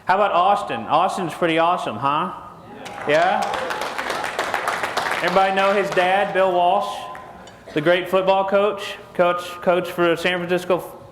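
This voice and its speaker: A man's voice: sits high, measured pace, long pauses, fairly bright tone, slight background noise. A middle-aged man from Texas with a Texan accent, giving a jokey seminar talk on stage and asking the crowd questions. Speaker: conference speaker. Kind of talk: joking seminar talk to an audience. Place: large conference hall stage. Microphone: lapel mic. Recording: echoey hall with long reverb.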